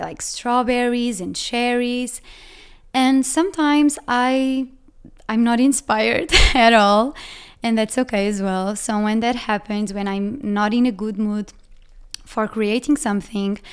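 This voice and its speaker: A woman's voice: on the high side, clear, resonant tone. A young woman with a Portuguese accent, a Portuguese native speaker, hosting a casual Q&A and chatting with many fillers. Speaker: podcast host and ceramic artist. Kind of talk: casual Q&A chat.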